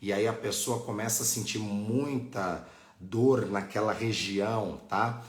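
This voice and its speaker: A man's voice: on the low side, a little slow. A Brazilian man teaching informally on a live stream, didactic and often checking that listeners follow. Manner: didactic, frequent checking tags. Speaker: religious teacher hosting a live online class. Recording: echoey, roomy sound.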